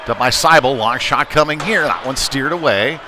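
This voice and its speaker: A man giving live ice hockey play-by-play in short clipped calls with hesitant pauses. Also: mid-range voice. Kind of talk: live sports commentary. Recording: clear background noise, live rink ambience. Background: ambient sports venue noise.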